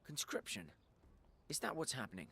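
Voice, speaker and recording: Stern, expressive adventurer. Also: male voice, medium pitch, normal speed, creaky, slightly rough, full character voice. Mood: formal, questioning. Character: stern, commanding fantasy adventurer, cautious and sceptical. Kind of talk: dramatic video game dialogue.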